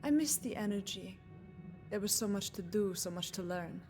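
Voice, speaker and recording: An actress playing a composed, defiant nurse in a video game, speaking in a measured, frank, dryly pointed way. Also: female voice, mid-range voice, expressive, a little mellow, lightly acted. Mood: reflective. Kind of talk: video game dialogue acting. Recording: music behind the voice.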